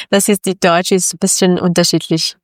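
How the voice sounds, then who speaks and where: A woman's voice: lowish voice, fairly even intonation, normal speed, dry recording, studio quality. A woman from Afghanistan, a Dari speaker, speaking hesitant German full of fillers as an interview guest. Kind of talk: radio interview.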